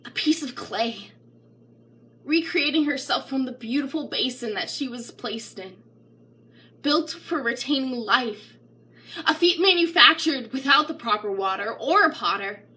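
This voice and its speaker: A woman's voice: high-pitched, lively intonation, measured pace. A woman giving a dramatic, emotionally intense spoken-word poetry reading. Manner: intense, emotional, theatrical, swinging between hushed and crying out. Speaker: poetry reader.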